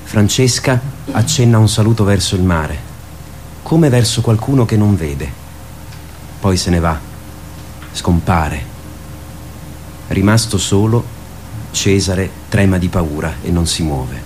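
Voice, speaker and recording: A man narrating a radio drama as a sober storyteller, describing the action in measured, short phrases. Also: low-pitched, darkish tone, a little hiss or hum.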